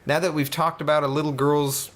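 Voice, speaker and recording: A man's voice: a little high, flat delivery, a little fast. A man hosting a let's play, reading game text aloud in a joking, hammy way and prone to giggles. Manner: playful, hammy, breaks into giggles.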